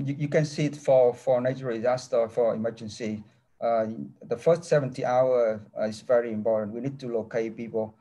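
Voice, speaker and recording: Halting, mellow-voiced professor on a video call. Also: male voice, medium pitch. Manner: halting non-native English with many restarts. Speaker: engineering professor. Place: office with large window. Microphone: laptop webcam mic on a video call.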